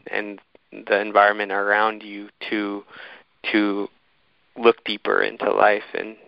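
A man hosting an interview, speaking haltingly and searching for his words, on slightly dull audio. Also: lowish voice, creaky, no room sound. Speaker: interviewer and host introducing a guest. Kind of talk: hosting an online community talk.

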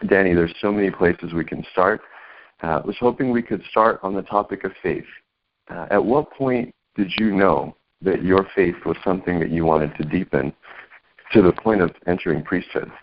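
A man hosting an interview over a video call, speaking in a measured, reflective way. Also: low voice. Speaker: host. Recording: dull lo-fi call audio.

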